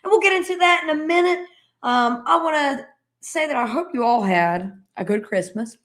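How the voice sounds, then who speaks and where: A woman's voice: high-pitched, expressive, moderate pace, a bit bright. A woman co-hosting a casual live stream, upbeat and keeping the show on track. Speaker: co-host.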